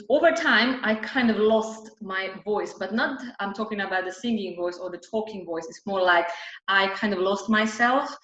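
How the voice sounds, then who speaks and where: A woman's voice: mid-range voice, fairly bright tone. Woman in a roomy, dull-sounding recording. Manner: frequent restarts and fillers, nonnative phrasing. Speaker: vocal coach, interview guest. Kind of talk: casual reflective interview talk.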